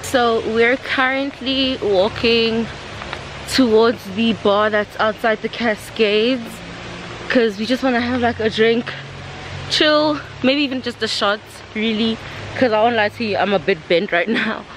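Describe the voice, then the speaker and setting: A young South African woman in her twenties, chatting casually to her camera held at arm's length, bubbly and quick to laugh. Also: fairly high pitch, noisy background. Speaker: vlogger. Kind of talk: casual vlog chat. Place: car, then outdoor resort garden. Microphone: handheld camera at arm's length.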